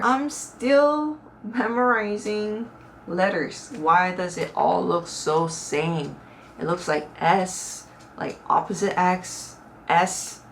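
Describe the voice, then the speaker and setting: Low-voiced Korean woman chatting to camera. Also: creaky. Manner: chatty, earnest, with hesitant second-language phrasing. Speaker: vlogger. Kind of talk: casual talk to camera. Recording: slightly distant mic with faint room noise.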